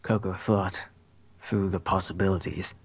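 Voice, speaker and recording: A man's voice: low voice, steady tone, unhurried, creaky, slightly rough. A young adult man reading story narration aloud in an amateur style, on a headset in a small room.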